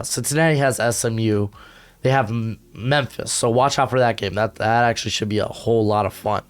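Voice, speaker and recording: A hoarse young man hosting a casual college football rankings show from home, talking in an energetic, slangy way with lots of fillers. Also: mid-range voice. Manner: energetic, slangy, rapid asides with frequent fillers. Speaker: college football analyst and channel host. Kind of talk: casual rankings commentary to camera. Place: home recording setup with a humidifier.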